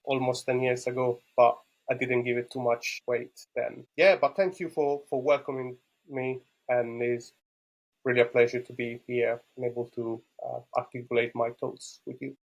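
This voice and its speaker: A man in his thirties from Rome with an Italian accent, answering as a podcast guest in halting, thoughtful non-native English. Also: medium pitch, level tone, vocal fry, low-quality recording. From Rome, Italy. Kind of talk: conversational interview answers about own life.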